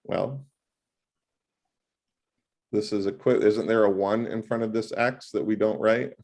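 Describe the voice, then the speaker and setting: A man tutoring math one-on-one over a video call, talking loudly in an animated, joking way. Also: fairly low pitch, a little slow. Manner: loud, animated and jokey.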